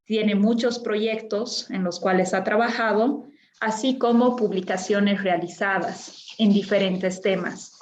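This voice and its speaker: A woman's voice: medium pitch, fairly even intonation, a little slow, reverberant, a little hiss or hum. A woman hosting a webinar, introducing the guest speaker in a formal, polite way. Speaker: webinar host and moderator.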